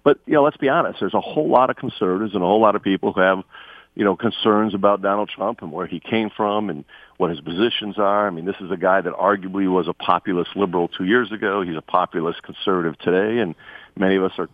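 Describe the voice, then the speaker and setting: A man's voice: deep voice, quick pace. A man speaking conversationally as a political guest in a radio interview, peppering his points with "you know". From from Lithuania, immigrant. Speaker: political party figure, guest. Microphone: phone call to radio studio. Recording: tinny phone line, roomy echo.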